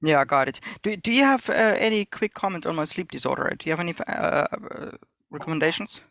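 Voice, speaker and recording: German-Finnish accented man, gravelly. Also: fairly high pitch, animated, fast talker, fairly bright tone, no room sound, studio quality. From Finland and Germany. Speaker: caller. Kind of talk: casual question on a call.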